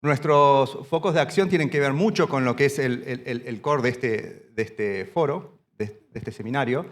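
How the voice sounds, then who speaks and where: A man from Argentina giving a standing conference presentation in a hall, halting and often restarting his phrases. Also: medium pitch. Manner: speaks standing up. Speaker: panel speaker.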